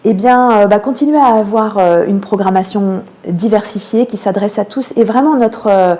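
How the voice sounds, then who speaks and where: A woman giving explanatory answers as a guest in a radio interview, often pausing on drawn-out hesitations. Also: medium pitch, warm tone. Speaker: theatre programming manager, interview guest.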